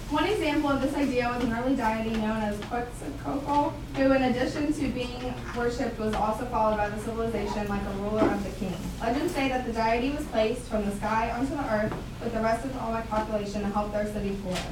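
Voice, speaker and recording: Woman doing a brisk teacher in a puppet show, high voice, super echoey. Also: close to an everyday voice. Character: schoolteacher lecturing on ancient civilizations, brisk and instructive. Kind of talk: scripted student puppet show. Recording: roomy echo, distant mic, clear noise.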